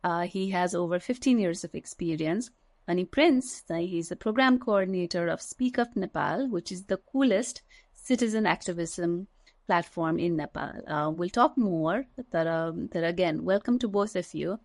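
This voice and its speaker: A woman in her forties hosts a podcast over a video call from a small room at home. Her voice has a gravelly edge and sits close and dry, with no room sound. She chats loosely, with many fillers, moving between English and Nepali. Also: lowish voice, moderate pace, a little mellow, dry recording. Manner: chatty, many "you know" fillers. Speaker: podcast host. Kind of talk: casual interview hosting, mixing English and Nepali.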